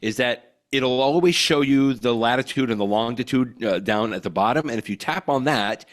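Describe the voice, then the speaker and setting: A man's voice: medium pitch, normal speed. An American man co-hosting a tech podcast, explaining tips in a casual, enthusiastic way. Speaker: podcast co-host. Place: hotel room.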